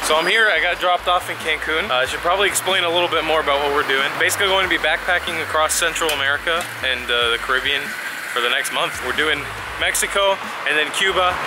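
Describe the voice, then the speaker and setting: A man's voice: fairly high pitch, very noisy. Upbeat young man vlogging to camera. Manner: upbeat, jokey, chatty with viewers. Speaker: travel vlogger. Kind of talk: casual vlog narration. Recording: loud music behind the voice.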